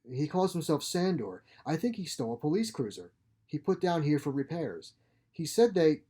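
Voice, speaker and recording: Exiled sci-fi scientist played by a male reader: glib schemer, theatrical, husky, mellow. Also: on the high side, very animated, moderate pace, voice pushed up. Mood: feigned panic.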